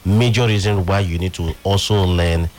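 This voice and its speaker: A man's voice: deep voice, moderate pace, a little mellow. A man with a Nigerian accent, speaking on a studio panel and explaining his view at length. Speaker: panelist on a talk show.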